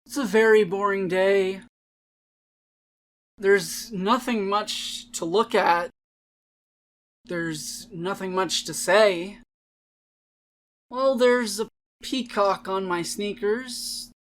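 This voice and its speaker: A woman voicing a bored, weary child in a dramatic poem reading, deadpan and listless with drawn-out words. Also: mid-range voice, natural voice. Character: bored, weary child oblivious to wild wonders.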